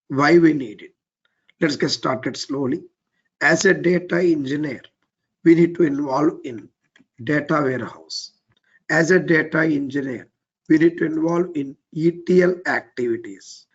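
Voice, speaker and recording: A man with an Indian English accent teaching a live technical class. His voice is weak and low, and he keeps repeating his cues to move on step by step. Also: a little slow, long pauses, slightly rough, lo-fi sound. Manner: repetitive, keeps saying "let's get started, step by step". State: voice a bit weak and low from overuse. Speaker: trainer.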